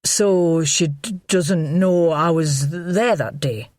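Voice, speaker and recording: A woman's voice: on the low side, slow, a little mellow, no echo, studio quality. Woman voicing a stammering nervous man. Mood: anxious. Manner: stammering, anxious. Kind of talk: audiobook character voice.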